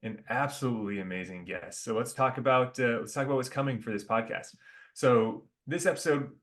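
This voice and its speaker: A male podcast host with an average pitch opens the show with upbeat, partly scripted introductions. He then moves into relaxed questions for his guests. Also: brisk. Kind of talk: podcast hosting.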